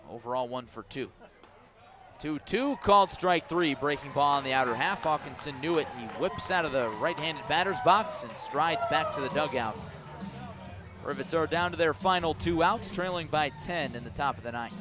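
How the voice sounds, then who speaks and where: A man doing live radio play-by-play of a baseball game, giving measured calls mixed with explanatory asides and dry understatement. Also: a little high, lively intonation, music in the background. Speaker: baseball play-by-play announcer for a team's radio broadcast. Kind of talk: live radio sports commentary. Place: outdoor ballpark at night.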